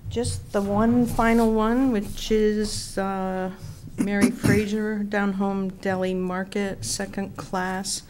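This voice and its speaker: A woman's voice: mid-range voice, level tone, slow pace, few pauses, noisy background. A woman reporting briefly and matter-of-factly to a town board. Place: town meeting room.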